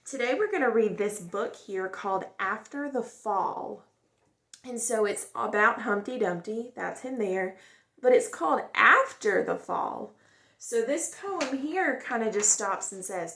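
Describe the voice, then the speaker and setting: A woman casually presenting a picture book to children, pausing to explain and wonder aloud. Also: on the high side, expressive, fairly bright tone. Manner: pauses to explain and wonder aloud to young listeners.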